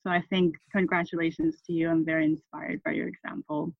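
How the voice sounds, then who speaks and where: Woman in her thirties from Taiwan, reflective guest, lowish voice, dry muffled recording. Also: flat delivery, fairly quick, vocal fry, no room sound. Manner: thoughtful, many fillers and restarts. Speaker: interview guest. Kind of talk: reflective conversational interview. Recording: dull muffled sound, dry.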